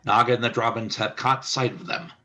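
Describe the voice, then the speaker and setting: A man's voice: medium pitch, steady tone, brisk, sharp tone. A man reading scripted stage-direction narration for a radio play.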